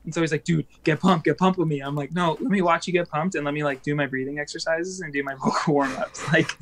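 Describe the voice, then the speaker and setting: A man, a band musician, chatting casually as a guest in an interview, rambling with plenty of fillers and quick laughs. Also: a little high, steady tone.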